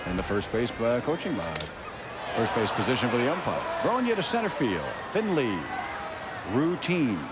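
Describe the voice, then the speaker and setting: Male American baseball play-by-play announcer, lowish-key wry chat between clipped calls, heavy ballpark noise behind. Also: big pitch swings, normal speed, a loud crowd. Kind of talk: live radio baseball commentary. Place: open-air ballpark broadcast booth. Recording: very noisy outdoor ballpark broadcast.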